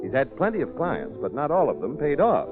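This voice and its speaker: Man, American accent, vintage radio narration, lively pitch, lo-fi recording, loud music behind. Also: brisk. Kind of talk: 1940s radio scripted narration.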